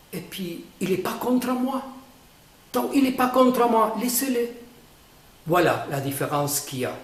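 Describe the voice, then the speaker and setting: A man, a painter who is not a native French speaker, explaining his own painting in a halting way with many fillers and restarts. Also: high-pitched, reverberant. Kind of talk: informal spoken explanation.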